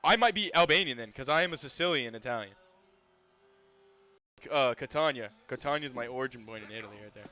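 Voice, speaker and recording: A man streaming a game, talking casually with loud, profane, excitable outbursts. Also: mid-range voice, quick pace, long pauses. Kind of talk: casual live gameplay commentary.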